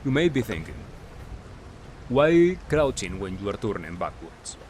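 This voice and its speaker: A man giving casual, explanatory gameplay commentary, halting and correcting himself as he reasons through his points. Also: fairly low pitch, sing-song, darkish tone, a little hiss or hum. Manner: halting, self-correcting, asks and answers own questions. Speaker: gamer, video commentator.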